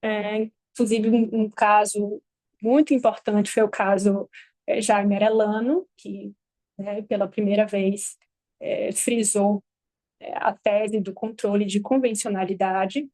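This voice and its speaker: A Brazilian woman, a lawyer and native Portuguese speaker, giving a halting, measured academic talk at a panel table microphone. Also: mid-range voice, little pitch movement, mellow, dry recording. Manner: measured, halting, many pauses. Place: university event hall.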